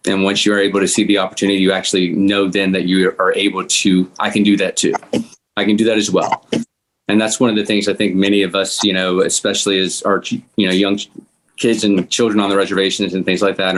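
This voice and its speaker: Brief: male guest, very low-pitched, offering appreciative, loosely rambling encouragement. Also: steady tone, fast talker, fairly bright tone, slight background noise. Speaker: guest actor from a table read. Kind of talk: informal appreciative remarks.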